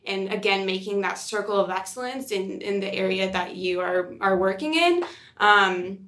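A woman with a bright, forward voice at an average female pitch co-hosts a podcast. Her talk is conversational, earnest and emphatic. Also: moderate pace. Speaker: podcast co-host.

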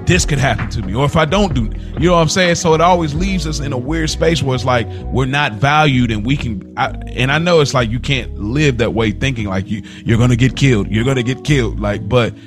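A man's voice: medium pitch, fairly quick, few pauses, over music. A man co-hosting a casual podcast, talking informally with lots of slang and repeating phrases for emphasis. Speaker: podcast co-host.

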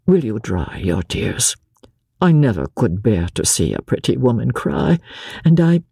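A man's voice: mid-range voice, sing-song, dark tone, slightly rough, dry recording, studio quality, full character voice. A man voicing a proud English nobleman who speaks coolly and formally while holding back his feelings. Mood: cold gallant. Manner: cool, measured, formal. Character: proud restrained English nobleman, cold and gallant.

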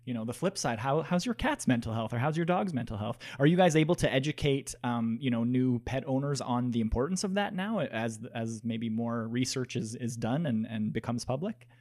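A young man with a mid-pitched voice talks very quickly as an interviewer. He is chatty and engaged, phrasing his questions and comments casually, as in a friendly conversation. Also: lively intonation, darkish tone.

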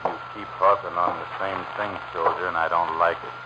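An actor playing a big, hot-tempered racketeer in 1940s radio drama, speaking in a gruff, curt, threatening way. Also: male voice, low voice, little pitch movement, a little fast. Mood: angry, threatening. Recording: very noisy, muffled old radio recording.

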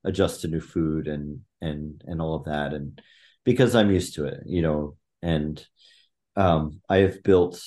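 A middle-aged man talking as a podcast guest, thoughtful and halting, with frequent fillers and restarts. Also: low-pitched, warm tone. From Thailand. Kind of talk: conversational interview.

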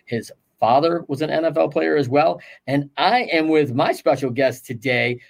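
A man's voice: on the high side, animated, moderate pace, creaky. A man hosting a sports podcast, interviewing a guest in an upbeat, enthusiastic and chatty way. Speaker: podcast host, coach. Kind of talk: interview conversation.

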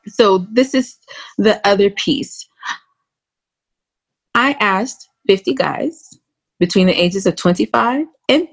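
A woman hosting a casual podcast interview, talking in an informal, flirtatious and teasing way. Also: medium pitch. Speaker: podcast host.

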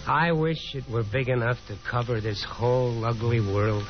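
An actor playing a bitter, restless young American son, sardonic and theatrical, in 1950s radio drama style. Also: male voice, mid-range voice, fairly even intonation, moderate pace, music in the background, natural voice. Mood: tipsy, wistful. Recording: dull old radio broadcast recording.

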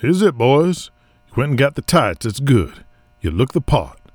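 A man's voice: medium pitch, big pitch swings, normal speed, darkish tone, no room sound, pitched above the performer's own voice. A man voicing a bossy male wrestling promoter in cowboy gear, coaching his wrestlers impatiently.

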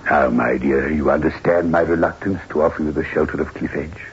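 Deep-voiced, grave, haunted widower. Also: male voice, steady tone, slightly rough, heavily acted. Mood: grave warning. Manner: formal, measured, foreboding. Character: grave, haunted widower, male landowner of modest means. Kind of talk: 1960s radio drama acting. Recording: muffled, hissy old radio recording.

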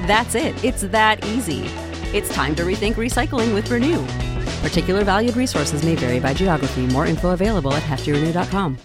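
A woman announcer giving a smooth, upbeat scripted ad read. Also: mid-range voice. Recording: studio ad with music bed.

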